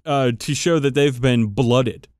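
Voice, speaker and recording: A man's voice: medium pitch, measured pace, a little mellow, clean tone, dry recording, clean studio sound. A man hosting a podcast, chatting casually and enthusiastically about game lore with emphatic swearing. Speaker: podcast host. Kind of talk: casual, profane hobby chat explaining game lore.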